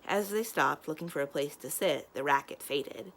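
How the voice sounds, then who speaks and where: A woman reading a children's novel aloud as a storyteller, expressive and giving the characters their own lines. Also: sits low, normal speed.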